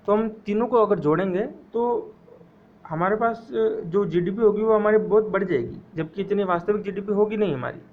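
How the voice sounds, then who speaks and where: A man teaching an exam-prep economics lecture, repeating key phrases slowly so students can memorize them. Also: sits high, phone-quality sound, a little hiss or hum.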